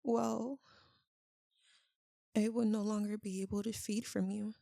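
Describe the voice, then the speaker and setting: Girl doing a sad vampire girlfriend for asmr, tender n pleading, soft mellow creaky voice, super close dry sound. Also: medium pitch, flat delivery, no echo. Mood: grave, resigned. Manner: halting, intimate, pleading delivery. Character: anguished vampire lover, tender, guilt-ridden, female. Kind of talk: ASMR roleplay.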